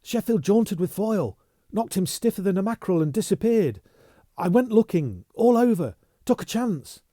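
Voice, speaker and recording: A man voicing a frantic, panicky young male messenger who shouts and gasps. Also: sits high, a little slow, no echo, studio quality, voice pushed up. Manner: shouting, gasping.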